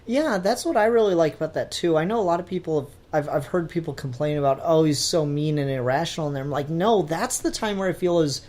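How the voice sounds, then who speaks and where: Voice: medium pitch, brisk, few pauses, a little mellow. A podcast co-host discussing fiction casually, in a conversational and analytical way.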